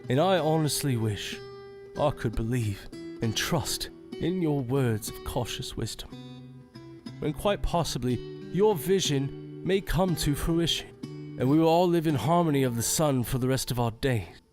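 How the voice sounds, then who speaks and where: An actor playing a young, wary sheriff in a fantasy audio drama, formal and grave. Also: male voice, medium pitch, lively intonation, unhurried, over music, natural voice. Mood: earnest.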